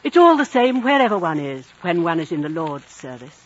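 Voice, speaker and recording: A prim, devout missionary lady, with a husky edge and lively intonation. She is precise and unflappable, on a dull old radio drama recording. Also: lowish voice, brisk. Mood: pious, resolute. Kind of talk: 1950s radio drama acting.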